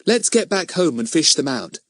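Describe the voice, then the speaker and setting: A practical schoolboy pal acted by a man chats briskly in a raised, lively voice. He is matter-of-fact, a chum in a children's storybook. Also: on the high side, dry recording, pitched above the performer's own voice.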